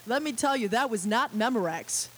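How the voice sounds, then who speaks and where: A woman's voice: a little high, expressive, moderate pace. A woman hosting a live radio interview show, bubbly and effusive with her guests. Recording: faint tape hiss.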